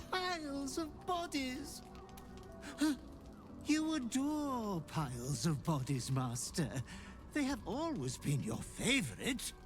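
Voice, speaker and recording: An actor voicing a fawning, sinister fiend butler in a video game, savoring cruel words theatrically. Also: medium pitch. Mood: fawning, coaxing. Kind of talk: video game character acting.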